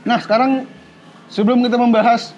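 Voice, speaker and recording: A man hosts a casual podcast with a high voice. He talks in excited, slangy chat and has a sore mouth. The recording sounds a little dull and lo-fi. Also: a little fast, soft background music. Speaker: podcast host.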